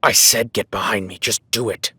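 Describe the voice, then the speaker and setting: A man playing a gruff cowboy hunter in an audio roleplay, whispering while stalking and swearing and giving orders in a crisis. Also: fairly low pitch, steady tone, normal speed, sharp tone, a little hiss or hum. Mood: urgent, alarmed. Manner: swearing, commanding, urgent. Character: gruff rugged cowboy hunter tracking a beast. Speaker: ranch hand tracking a beast.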